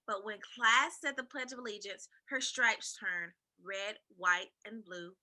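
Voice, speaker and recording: Very bright, high woman storyteller. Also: poor audio. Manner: dramatic, animated storytelling. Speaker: co-reader at a school reading night. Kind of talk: expressive children's storybook read-aloud.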